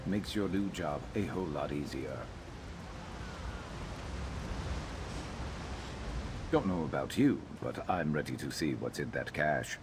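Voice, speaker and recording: An actor playing a gruff, guarded lizard-man companion in a video game, speaking in a dry, blunt, measured way. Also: extremely low pitch, background noise. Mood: dry amusement. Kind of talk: video game character dialogue.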